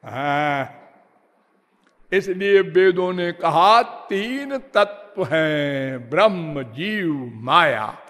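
A man giving a slow, measured spiritual discourse, drawing out his words and half-singing some phrases. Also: on the high side, expressive, fairly bright tone. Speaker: spiritual teacher. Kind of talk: devotional discourse. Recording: long hall reverb.

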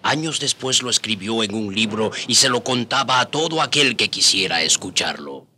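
A man's voice: on the low side, expressive, moderate pace, pushed and bright. An actor playing an eyewitness storyteller, narrating his memories for a radio drama. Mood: reminiscent. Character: reminiscing storyteller, an eyewitness of colonial Cuba.

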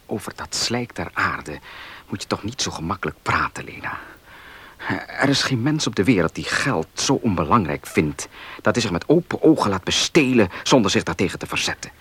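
A man playing a determined, suspicious fiancé in a Dutch radio play, earnest and insistent, with a husky, breathy voice. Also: lowish voice, big pitch swings, normal speed, a bit bright, exaggerated voice.

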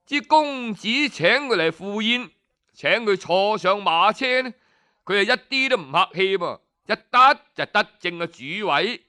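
A man with a Cantonese accent telling a story in an animated, colloquial, dramatic traditional style full of interjections. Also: high-pitched, a little slow, smooth.

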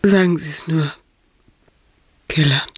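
A subdued woman in mourning speaks slowly in a radio crime drama. Her words are hushed and halting, sometimes fading toward a whisper. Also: low-pitched, slightly rough, dry recording, exaggerated voice. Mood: subdued grief. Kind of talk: German radio play acting.

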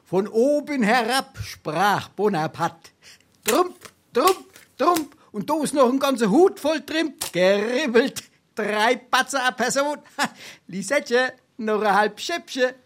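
A man's voice: extremely high pitch, expressive, takes it slowly, a bit bright, heavily acted. A man playing a cheeky, penniless card-playing freeloader in a Darmstadt Hessian dialect stage comedy, theatrical, wily and grandiloquent. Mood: boisterous triumph.

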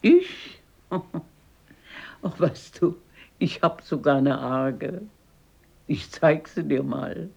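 An actress playing a blunt, fearless, warm-hearted old grandmother in a radio drama, brisk and outspoken, scolding yet affectionate. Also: sits low, gravelly, slightly rough, highs cut off, heavily acted. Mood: teasing, chiding. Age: older.

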